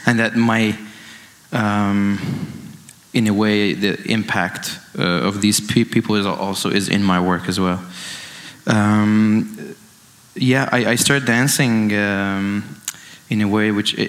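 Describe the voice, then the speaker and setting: A man in his late twenties from Iran giving an informal, reflective artist talk, halting and circling back over his phrases. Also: lowish voice, fairly bright tone. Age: late 20s. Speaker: dance artist, panelist. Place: indoor event stage, amplified.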